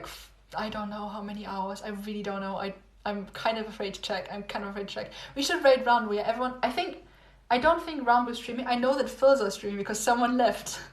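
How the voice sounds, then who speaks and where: A young woman chatting casually with livestream viewers, rambling and repeating herself with little laughs, her voice going hoarse. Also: medium pitch. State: losing her voice. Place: home room. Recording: echoey room, phone livestream.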